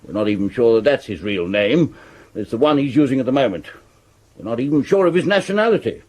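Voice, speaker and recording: An actor playing a brusque, pompous Victorian police commissioner with an upper-class English accent in a radio drama. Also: male voice, medium pitch, expressive, quick pace, a little mellow, close to an everyday voice. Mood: brisk command. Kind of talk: 1970s radio drama acting.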